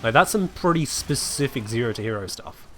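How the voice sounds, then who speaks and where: A man's voice: mid-range voice, expressive, fairly quick, faint music behind. A man streaming a game while sick, chatting casually and answering his chat, with a cough now and then.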